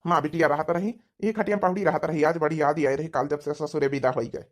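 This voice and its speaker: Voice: mid-range voice, steady tone, quick pace, close to an everyday voice. An actor voicing a plaintive village father who misses his married daughter, with an Awadhi accent in a cartoon comedy. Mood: wistful. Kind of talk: dialect cartoon comedy acting.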